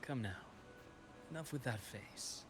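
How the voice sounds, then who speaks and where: Man playing a gentle doctor over loud music. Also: medium pitch, close to an everyday voice. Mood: gently teasing. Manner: measured, reassuring, philosophical. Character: gentle, reflective male doctor consoling an old friend. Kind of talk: video game story voice acting. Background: loud game music.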